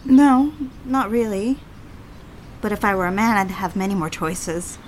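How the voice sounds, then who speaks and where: A woman's voice: medium pitch, animated, measured pace, a little hiss or hum, lightly acted, rough recording. An actress as a conflicted young woman. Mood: cynical, defensive. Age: 20s. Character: conflicted, romantic young woman confiding in her father. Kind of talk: audio drama acting.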